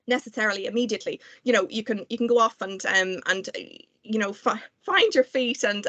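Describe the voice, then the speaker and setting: A woman's voice: mid-range voice, a bit bright, dry recording. A woman gives informal careers advice in a hesitant, conversational way. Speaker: guest speaker at a careers seminar. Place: online video call.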